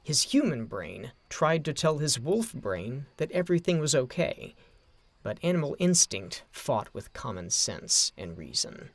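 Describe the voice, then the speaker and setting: Male narrator, animated pitch swings, gravelly fry, deliberate phrasing, scripted dramatic storytelling. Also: no room sound.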